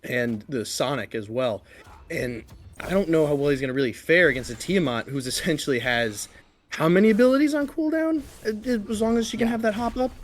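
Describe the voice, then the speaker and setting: A man giving live esports commentary and analysis as a shoutcaster. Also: fairly high pitch, animated, a little fast.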